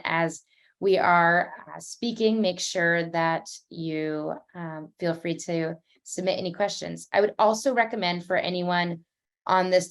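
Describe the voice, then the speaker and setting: A young woman working in admissions, hosting an online panel in a chatty, upbeat way with many fillers, heard over a video call. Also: on the low side. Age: young adult.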